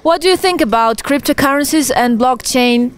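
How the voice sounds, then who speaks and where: A young woman reporter holds a mic on a night street and asks earnest questions. Her voice is high and bright in pitch, her phrasing a little halting. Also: smooth. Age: young adult. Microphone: handheld mic.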